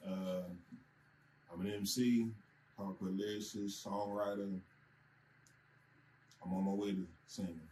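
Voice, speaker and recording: A man from Michigan with a lowish voice speaks casually as an interview guest. He sounds set back from the microphone in an echoey space. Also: flat delivery, a little slow, pauses often, mellow. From Michigan, United States. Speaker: rapper, songwriter and interview guest. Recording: echoey, distant mic with background noise.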